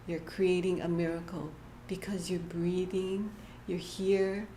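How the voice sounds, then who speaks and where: A woman giving a short inspirational church message, gentle and halting, often laughing at herself. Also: on the low side, little pitch movement. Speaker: church speaker. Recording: echoey hall with faint room noise.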